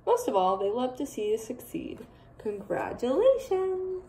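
Young lady teacher doing storytime, mellow highish voice, really expressive, little playful asides. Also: a little slow, slight background noise. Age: young adult. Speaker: teacher reading to children. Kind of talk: children's picture book read-aloud.

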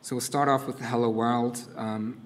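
A middle-aged man with a non-North American accent, giving a casual, halting live coding demo at a conference lectern, with many fillers and restarts. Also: mid-range voice, fairly even intonation, slight background noise. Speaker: conference workshop presenter. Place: conference room. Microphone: lectern mic.

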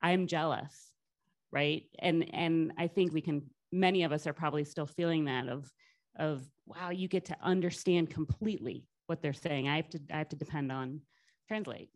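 A woman's voice: deep voice, normal speed, darkish tone, dry recording. A woman in her forties moderating a conference chat on stage with a handheld mic, upbeat and encouraging. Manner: upbeat, encouraging, repeats words. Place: conference stage before a live audience.

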